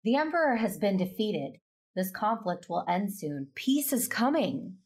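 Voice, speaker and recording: A woman's voice: medium pitch, big pitch swings, unhurried, a little mellow, slightly rough. An actress playing a composed, diplomatic warrior-monk who narrates her story in an audio drama. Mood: firm, questioning. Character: composed, diplomatic woman Jedi master, wary. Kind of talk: first-person audio drama narration and acting.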